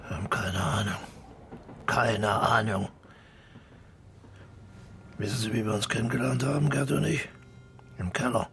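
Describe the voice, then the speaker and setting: A man's voice: fairly low pitch, creaky, a bit bright. An actor playing a bitter older widowed man in a radio drama, blunt and colloquial, speaking in terse fragments. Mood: bitter, scornful. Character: gruff, bitter old widowed drinker defending his friend. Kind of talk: naturalistic radio drama dialogue.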